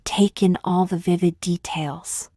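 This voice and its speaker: Female meditation guide: lowish voice, very unhurried, calm delivery, close dry sound. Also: dry recording. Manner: calm, unhurried, gently measured with long pauses. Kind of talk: guided meditation, scripted soothing narration.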